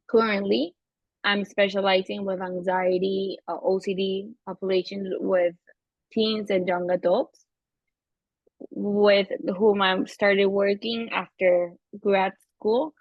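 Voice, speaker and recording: A woman's voice: medium pitch, no echo. Brief: young Venezuelan woman, native Spanish, searches for words, thoughtful, dry and slightly muffled recording. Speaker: guest therapist. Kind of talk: casual interview. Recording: slightly dull lo-fi audio.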